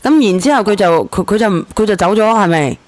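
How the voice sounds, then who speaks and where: A woman's voice: mid-range voice, animated, a little fast. A woman casually hosting a live call-in, recapping and checking with short tag questions. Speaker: host of a relationship call-in show.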